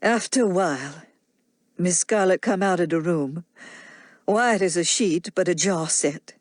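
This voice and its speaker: A woman's voice: lowish voice, a little slow, dry recording, studio quality. A woman voicing a devoted, frightened old nanny with a heavy Southern US accent and plainspoken dialect. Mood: troubled.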